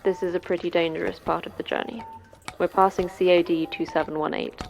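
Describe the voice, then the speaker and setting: A lowish, creaky-voiced seasoned female guide through a monster facility. She is brusque and terse, giving orders in a matter-of-fact way, as in a horror audio drama with music underneath. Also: level tone, fairly quick. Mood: brusque, guarded. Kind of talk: science fiction horror audio drama acting.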